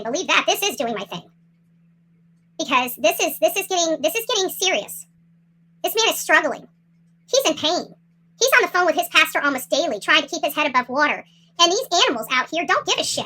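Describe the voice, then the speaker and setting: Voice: very high voice, big pitch swings, a little fast, bright, forceful tone. A livestream host on an impassioned rant, upset and confrontational, speaking emphatically with salty language and repeated phrases. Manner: salty, swearing. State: upset, agitated. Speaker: livestream host commenting on a missing-person case.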